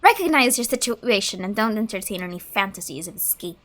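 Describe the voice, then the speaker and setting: A woman's voice: mid-range voice, animated, a little fast, fairly bright tone. A woman gaming streamer giving casual, playful and mock-dramatic commentary over gameplay.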